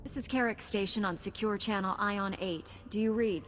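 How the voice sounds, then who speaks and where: A woman's voice: medium pitch, fairly even intonation, moderate pace, a bit bright, a little hiss or hum, lightly acted. A woman playing an earnest, steadfast Jedi knight in a video game, composed and sincere. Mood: calm resolve.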